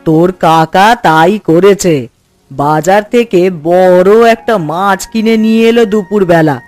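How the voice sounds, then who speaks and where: A woman's voice: fairly low pitch, a little slow, darkish tone, soft background music, natural voice. A woman voicing a caring, bustling village woman in a cartoon, motherly and fussing. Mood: attentive. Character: caring, bustling village woman feeding her household and guests. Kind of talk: cartoon voice acting.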